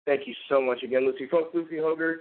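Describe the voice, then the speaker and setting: A man's voice: a little high, steady tone, quick pace. A man hosting a podcast, wrapping up the show with effusive thanks and rambling sign-offs. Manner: effusive, rambling with fillers and restarts. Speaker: podcast host. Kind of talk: casual show outro. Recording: phone-like narrow line.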